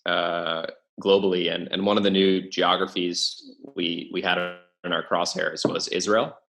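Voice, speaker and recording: Low gravelly-voiced young man. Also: flat delivery, unhurried, a bit bright. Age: young adult. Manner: hesitant, many fillers and restarts. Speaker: podcast guest, venture investor. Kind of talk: casual interview talk.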